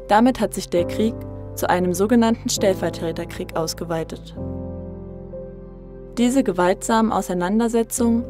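Woman narrating a documentary over music. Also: medium pitch. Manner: steady, even-toned, informative delivery. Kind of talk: scripted narration. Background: instrumental music.

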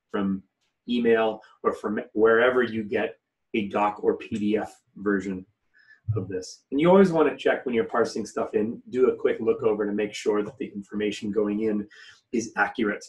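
A man giving an informal, step-by-step software tutorial as an instructor. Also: fairly low pitch. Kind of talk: informal step-by-step screen-share walkthrough. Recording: voice somewhat distant from the mic.

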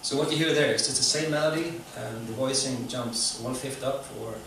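A man giving an informal, halting lecture to an audience as a musician. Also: medium pitch, fairly bright tone. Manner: halting, explanatory. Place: club room with seated audience, indoors. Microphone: stage mic through speakers, recorded from afar. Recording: echoey, noisy hall recording from far off.

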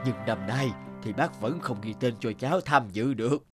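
An actor playing an elderly, stern and wise mouse elder in an animated cartoon, grave, measured and admonishing. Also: medium pitch, natural voice. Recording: music behind the voice.

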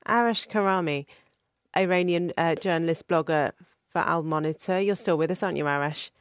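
Woman hosting a radio panel, a bit low pitched, brisk and polite, dry studio mic. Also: no echo. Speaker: radio show host.

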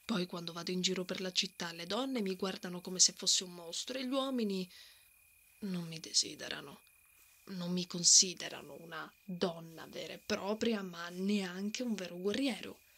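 A woman's voice: lowish voice, moderate pace, fairly bright tone, breathy edge. A woman playing a brave, stubborn and protective female knight in a fantasy ASMR roleplay. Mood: tender, vulnerable. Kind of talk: ASMR romantic fantasy roleplay, acted monologue.

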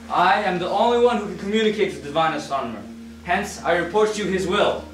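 A man's voice: high voice, quick pace, natural voice. A young man playing a pompous herald of a divine astronomer, declaiming theatrically on stage. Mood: solemn, commanding. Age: young adult. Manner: pompous, proclaiming. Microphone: far camera. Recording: roomy stage, distant camera, background noise.

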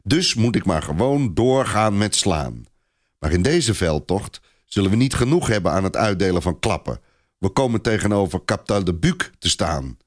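A man voicing a gruff, indignant knight commander. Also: deep voice, sing-song, normal speed. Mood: angry, bitter. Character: furious blustering Breton knight, proud veteran commander.

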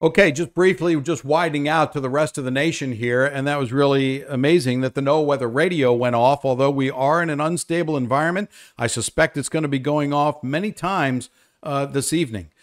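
Weather presenter, older man, slightly raised pitch, talking through live updates off the cuff. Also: a little fast. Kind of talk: unscripted live weather commentary.

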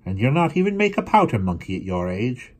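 A man's voice: mid-range voice, sing-song, fairly quick, darkish tone, voice pushed up. A man voicing an easygoing young man, the eldest brother, who reminisces and jokes.

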